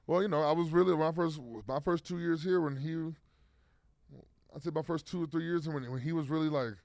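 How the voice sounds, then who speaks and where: A man's voice: a little high, fairly even intonation, fairly quick, darkish tone, no room sound, clean studio sound. A man in his thirties, a football player guest, talking casually in an interview with lots of fillers and restarts. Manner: rambling, many fillers and restarts.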